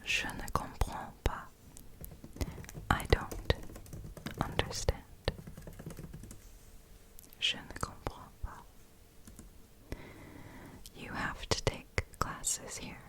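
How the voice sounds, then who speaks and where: A young woman whispering softly while she practises a language, musing aloud and chuckling at her own slips. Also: slow pace, long pauses. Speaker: ASMR creator.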